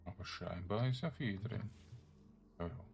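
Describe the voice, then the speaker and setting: A man giving casual gameplay commentary, thinking aloud in short, halting phrases. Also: low voice, creaky, darkish tone, faint music behind. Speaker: let's play streamer.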